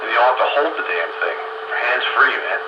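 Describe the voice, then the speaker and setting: An older man chatting casually in a halting, reminiscing way over a radio link. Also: medium pitch, animated. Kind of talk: casual group chat. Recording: muffled, very noisy radio link.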